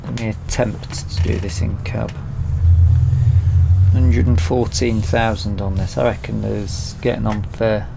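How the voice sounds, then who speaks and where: A British man hosts a gaming let's play in an unhurried, creaky voice. He talks casually, half to himself, as he works through the game. Clear noise from game vehicles sits behind his voice. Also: fairly low pitch, level tone. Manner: muttering to himself while playing. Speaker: gaming video host.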